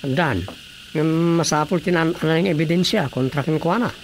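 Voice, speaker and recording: Low-voiced, firm village investigator. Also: female voice, brisk, slight background noise. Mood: businesslike. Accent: Ilocano. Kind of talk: Ilocano radio drama acting.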